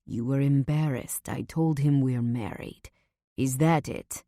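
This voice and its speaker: A woman voicing a huge, domineering husband who speaks low, quiet and measured. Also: dry recording, clean studio sound. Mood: calm, possessive. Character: big domineering husband, calm and possessive.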